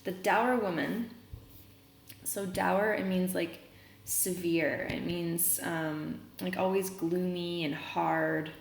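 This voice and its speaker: A woman reading a children's novel aloud, pausing to explain words as a teacher would. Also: lowish voice, steady tone, vocal fry. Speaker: read-aloud reader and teacher. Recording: roomy echo, noticeable background noise.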